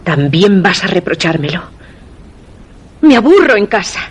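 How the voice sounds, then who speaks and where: An actress with a Castilian accent playing a sullen, secretive, curt and defensive wife in a suspense radio drama. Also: female voice, mid-range voice, very animated, unhurried, slight background noise. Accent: Castilian Spanish.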